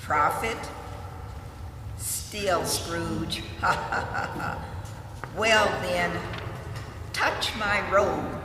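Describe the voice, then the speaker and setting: In an echoey hall, far from the mic with music underneath, a jolly giant spirit speaks with booming good cheer. The delivery is radio-drama hearty and extremely drawn out. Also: male voice, very slow, pauses often, noisy background. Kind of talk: 1940s-style radio play acting.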